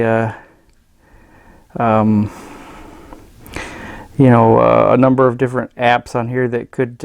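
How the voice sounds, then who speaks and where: A man reviewing a gadget in a casual, unscripted way, with a hesitant, halting and rambling manner. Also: medium pitch, creaky, clean tone, dry recording, clean studio sound. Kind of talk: casual unscripted product demonstration.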